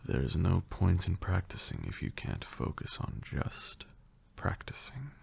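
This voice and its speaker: A man playing a commanding vampire lord and magic mentor in a roleplay ASMR, with a gravelly voice and a deliberate, dryly sarcastic manner. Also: big pitch swings, a little mellow, exaggerated voice. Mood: stern, weary. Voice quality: gravelly, very deep, breathy at times. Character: stern, teasing old male vampire lord, mentor in magic.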